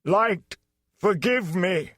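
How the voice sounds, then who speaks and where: A man's voice: high-pitched, lively intonation, extremely slow, fairly bright tone, dry recording, clean studio sound, pitched above the performer's own voice, heavily acted. A man playing an anguished, proud and wrathful lord in a fantasy audiobook, speaking in a solemn, dramatic way. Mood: pleading grief. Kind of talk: fantasy audiobook character acting.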